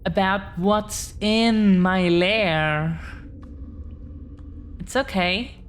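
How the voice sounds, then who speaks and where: A woman playing an affectionate, proud dragon-blooded girlfriend in an intimate audio roleplay, talking in a teasing way with long pauses. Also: medium pitch. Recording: clear background noise.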